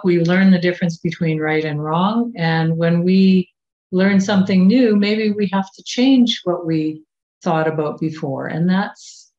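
A Canadian woman in her sixties giving a children's lesson at a church service over a webcam from a small home office, talking gently and asking coaxing questions. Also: on the low side. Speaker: children's time leader. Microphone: webcam on a video call. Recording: dull, muffled webcam sound.

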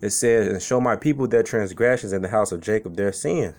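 A man's voice: lowish voice, brisk, a little mellow. A man from Chicago giving an informal sermon as a street preacher, reading scripture and talking it over in a loose, conversational way, often tagging lines with "man" and "okay".

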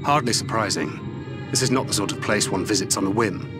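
An actor playing a stern, weary warrior and guardian in a video game, speaking in a measured, formal, terse way. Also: male voice, fairly low pitch, close to an everyday voice. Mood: grave, measured. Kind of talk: dramatic video game voice acting. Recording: music behind the voice.

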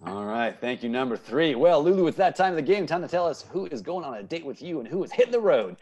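A man's voice: on the high side, very animated, fast talker, darkish tone. A man in his thirties hosting a playful game show over a video call, upbeat and often repeating answers back. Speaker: improv teacher and game show host. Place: indoor room at home, on a video call.

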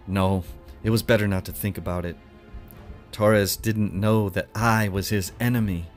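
A man narrating a first-person short story dramatically, with deliberate pauses and emotive emphasis. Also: on the low side, fairly even intonation, soft background music.

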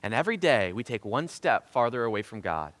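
A man in his thirties with a Southern Ohio accent preaches from a church stage, his voice bright and forceful. He leans on rhetorical questions, and his pitch rises and falls widely. The sound carries a long hall reverb. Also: very animated, brisk. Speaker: pastor. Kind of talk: sermon. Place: church stage in front of a drum kit.

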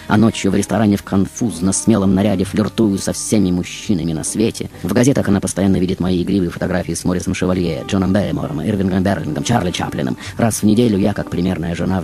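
Deep-voiced man playing an aged, theatrical film diva. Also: dark tone. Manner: declamatory, deliberate, addressing the audience. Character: aged, reclusive, theatrical female film star looking back. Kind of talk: theatrical monologue, stage storytelling.

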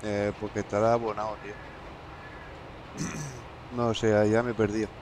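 A man's voice: on the low side, little pitch movement, moderate pace, noisy background. A man streaming a farming game, chatting casually with friends and laughing, switching between Spanish and German. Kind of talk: casual gaming chat.

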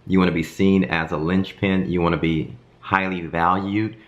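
A man in his thirties, a bit hoarse from a cold, giving casual advice to camera from his home kitchen and checking in with "okay?" as he goes. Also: deep voice, moderate pace, highs cut off. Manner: animated hand gestures, frequent "um" and "okay?". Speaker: design career vlogger.

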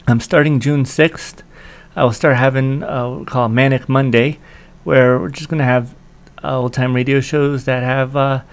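An American man in his forties hosting casually from a small room, thinking aloud in a halting way. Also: mid-range voice. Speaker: online host of old-time radio shows. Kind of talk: casual unscripted outro.

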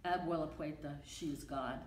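A woman's voice: low-pitched, normal speed. Older Brooklyn woman reading poetry. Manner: emphatic, rhythmic, repeating refrains. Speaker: poetry reader. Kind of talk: reading a poem aloud. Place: library meeting room. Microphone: podium mic from a far camera. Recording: echoey room with faint noise.

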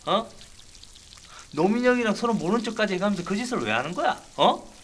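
Blustering, high-voiced company director. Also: male voice, animated, a little fast, fairly bright tone, slight background noise. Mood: threatening. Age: 50s. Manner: blustering, needling. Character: jumpy, scheming middle-aged company director, threatening and flustered. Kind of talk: sitcom acting. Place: tiled restroom.

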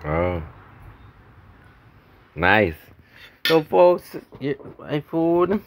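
A man's voice: fairly high pitch, big pitch swings, extremely slow, background noise. A middle-aged man with a Jamaican accent chatting casually in patois for a home vlog, often repeating his phrases. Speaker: family vlogger. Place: outdoors on a wooden veranda at home. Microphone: handheld phone.

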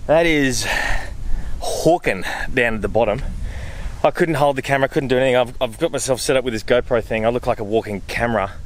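An Australian man in his thirties chatting casually and excitedly to camera outdoors, in exclamatory, slangy speech. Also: mid-range voice, slight background noise. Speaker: vlogger. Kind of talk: casual vlog chat to camera. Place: outdoors on a mountain trail and car park. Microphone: handheld camera at arm's length.

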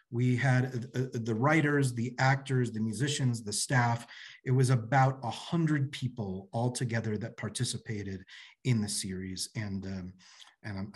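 A man's voice: mid-range voice, slightly rough. A man in his forties giving an informal presentation over a video call from an office, as a theatre director. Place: small office, indoors. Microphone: computer mic on a video call.

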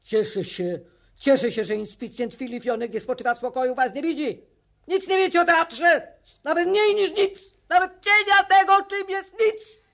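A woman's voice: high-pitched, normal speed, a bit bright. An actress playing a bitter old female theatre creature who lectures scornfully in a children's radio play. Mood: mocking, bitter.

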